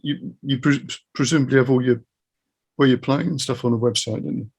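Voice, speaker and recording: A man's voice: medium pitch, fairly even intonation, brisk, vocal fry, a little mellow, breathy edge. A British man hosting a casual interview podcast in an encouraging, affirming way. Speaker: interview host.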